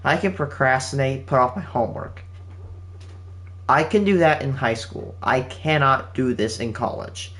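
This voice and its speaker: A man's voice: mid-range voice, unhurried, a bit bright. An eighteen-year-old man giving his channel's viewers a rambling, casual update from home, often restarting his sentences. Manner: rambling, with frequent restarts and fillers. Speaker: channel host. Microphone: home setup with game console running nearby.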